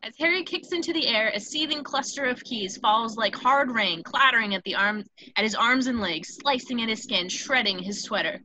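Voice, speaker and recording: Bright-voiced woman narrating a script. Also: on the high side, moderate pace, few pauses, slight background noise, rough recording. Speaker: narrator reading stage directions.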